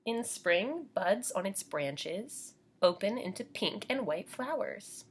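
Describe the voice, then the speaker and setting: Woman, American accent, lively rising and falling pitch, slightly husky, unhurried, preschool teacher read-aloud, simple child-directed explaining. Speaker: Montessori preschool teacher reading a picture book to children.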